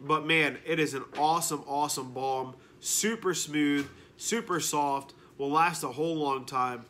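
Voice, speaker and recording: A man's voice: fairly high pitch, a bit bright. A man in his thirties with a nasal, congested voice from a cold, casually reviewing beard products from his living room couch and often repeating words for emphasis.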